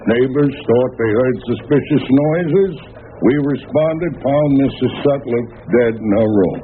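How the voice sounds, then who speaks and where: An actor playing a weary, suspicious police lieutenant in old-time radio drama, halting and matter-of-fact. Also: male voice, mid-range voice, natural voice. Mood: official, guarded. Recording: narrow, noisy old radio recording.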